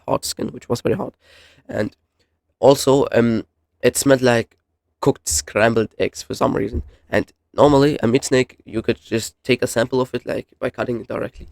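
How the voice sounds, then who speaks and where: Man with creaky voice rambling through casual commentary. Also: medium pitch, steady tone, dry recording, clean studio sound. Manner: halting, rambling, self-correcting. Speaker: YouTube host reviewing horror videos. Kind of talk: casual unscripted explainer commentary.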